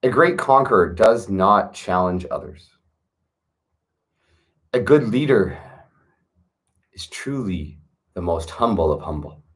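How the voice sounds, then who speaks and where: A man with a fairly low voice reads slow, solemn verses aloud. He speaks in a measured, earnest way and leans into key words for emphasis. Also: expressive. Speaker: reader of a classic text. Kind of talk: solemn reading aloud with asides.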